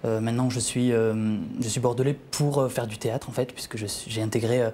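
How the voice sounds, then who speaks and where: A man's voice: fairly even intonation, fairly quick, dark tone, a little hiss or hum. A young man, a drama student guest, speaking modestly with hesitations. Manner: low-key, modest, hesitant.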